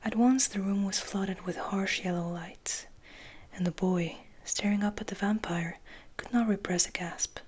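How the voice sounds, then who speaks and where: A woman whispering softly and slowly as an ASMR host, in a hushed manner with gentle pauses. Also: mid-range voice, steady tone, husky. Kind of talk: whispered, soft-spoken ASMR. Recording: noticeable background noise.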